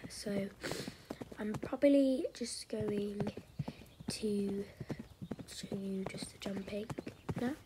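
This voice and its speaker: Very noisy audio with clicks carries a woman's soft, breathy voice. She talks slowly at an ordinary pitch, hesitating between short phrases as she narrates a casual vlog recap. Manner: halting, hesitant, trailing off. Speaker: vlogger narrating her own horse riding.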